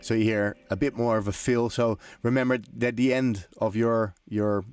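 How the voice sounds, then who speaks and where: A man's voice: on the low side, steady tone, normal speed. A man hosting a music production tutorial, explaining informally as he demonstrates, in loose, conversational and often hesitant phrasing. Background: electronic drum and synth loops.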